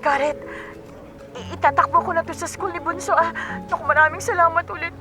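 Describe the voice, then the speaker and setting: A woman's voice: extremely high pitch, steady tone, brisk. An actress playing an effusively grateful, cash-strapped young Filipino woman in a radio drama, with a gushing manner. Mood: grateful, relieved. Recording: music behind the voice.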